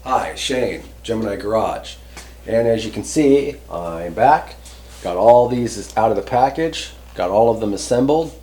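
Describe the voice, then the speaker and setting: Male hobby host, lowish pitch, off-the-cuff and rambling delivery, dryly understated. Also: mellow. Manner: rambling, hesitant, informal. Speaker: host of a CB radio hobby channel. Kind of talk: casual product test walkthrough.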